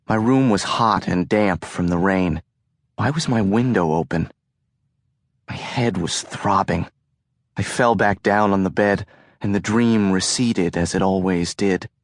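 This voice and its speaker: A man narrating an audiobook in the first person, telling the story in an earnest, measured way. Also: low-pitched, dry recording, clean studio sound.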